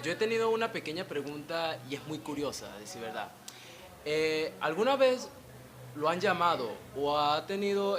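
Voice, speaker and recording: A man's voice: on the high side, fairly bright tone, slight background noise. A man in the audience asking a question hesitantly, with many fillers. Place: convention hall.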